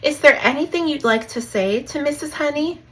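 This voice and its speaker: A woman's voice: high voice, animated, normal speed, poor audio. A woman voicing a kindly storybook mother owl who nurtures and guides her child with moral advice. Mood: gently instructive.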